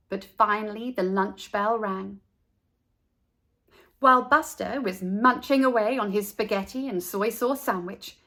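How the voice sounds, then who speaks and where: A woman storyteller reading a children's storybook aloud with deliberate, dramatic phrasing. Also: a little high, pushed and bright.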